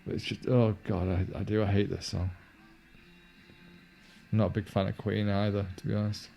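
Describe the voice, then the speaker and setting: A man's voice: fairly low pitch, level tone, normal speed, creaky, dark tone, soft background music. A young man with a Yorkshire-inflected northern English accent, chatting sardonically over a film and laughing often. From northern England. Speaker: co-host. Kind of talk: casual film commentary chat.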